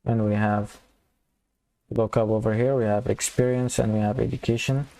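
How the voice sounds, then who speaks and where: Low-pitched, monotone man teaching coding. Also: vocal fry, poor audio. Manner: informal, halting, step-by-step explaining. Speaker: programming tutorial instructor. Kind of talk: casual screen-recorded coding walkthrough, thinking aloud.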